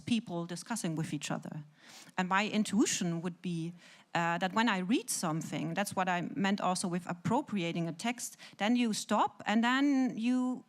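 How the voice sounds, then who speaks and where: A native German-speaking woman with a German accent, talking spontaneously as an academic on a discussion panel. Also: mid-range voice.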